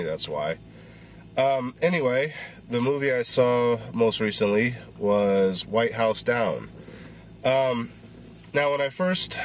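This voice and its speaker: A man's voice: lowish voice, fairly bright tone. A man in his thirties giving a rambling, casual movie review with a congested, stuffy voice while he gets over a cold. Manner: rambling, filler-heavy. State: congested, recovering voice.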